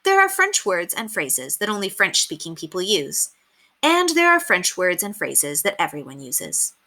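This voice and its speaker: A woman reading a children's book aloud as an expressive, emphatic storyteller. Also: on the low side, moderate pace. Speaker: read-aloud reader.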